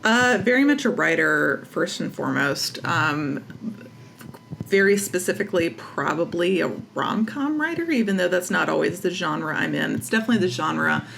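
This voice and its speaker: A woman's voice: fairly low pitch, lively intonation, moderate pace, gravelly, fairly bright tone, slight background noise. A woman chatting casually as an interview guest, with a wry, self-deprecating way of talking. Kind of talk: casual chat.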